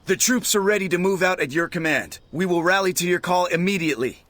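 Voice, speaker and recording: A dutiful young military man from a video game. His voice is high and sharply bright. He reports formally and eagerly, as if to a superior. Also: brisk, slight background noise. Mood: dutiful, urgent.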